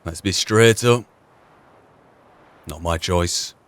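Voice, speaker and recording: A man's voice: sits low, slow, fairly bright tone. A man giving reflective, musing commentary as he plays a role-playing game. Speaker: gaming channel host.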